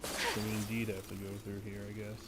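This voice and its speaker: A man's voice: fairly low pitch, little pitch movement, brisk, heavy background noise. A man livestreaming a video game, chatting casually in an offhand, musing way. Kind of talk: casual livestream chat and commentary. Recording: loud game music behind. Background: game music.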